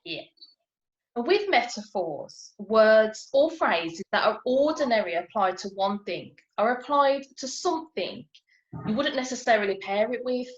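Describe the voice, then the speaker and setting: A woman with a fairly high, animated voice leads an online lesson as the tutor. She explains with examples and puts questions to her learner. The call audio is dull and echoey. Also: unhurried. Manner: clear step-by-step explaining, prompting for answers. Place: indoors, on a video call. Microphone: video call.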